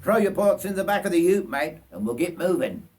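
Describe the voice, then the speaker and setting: Man voicing a rough, loud old Australian bushman. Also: high voice, lively intonation, brisk, voice pushed up. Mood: gruff, bossy. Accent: broad Australian. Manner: roaring, blunt, matey commands.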